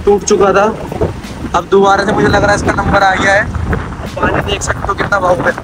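A man's voice: high voice, completely flat delivery, a little fast, rough recording. A North Indian man chatting casually as he hosts a travel vlog. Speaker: vlogger hosting an outing with friends.

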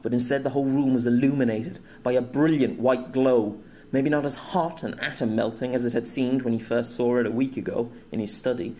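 A man reading an audiobook story in a measured storytelling manner. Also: mid-range voice, dark tone, a little hiss or hum. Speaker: audiobook narrator. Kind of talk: scripted narration.

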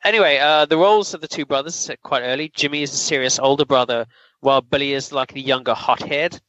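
A man's voice: medium pitch, fast talker, a bit bright, no echo, highs cut off. A man co-hosting a podcast, chatting casually and explaining film trivia in detail. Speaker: podcast co-host. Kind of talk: casual film review chat.

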